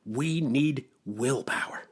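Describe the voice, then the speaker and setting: A man's voice: medium pitch, slow, slightly rough, voice pushed up. A man voicing a sensible, kindly frog in a children's storybook, patiently giving advice. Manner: patient, advising.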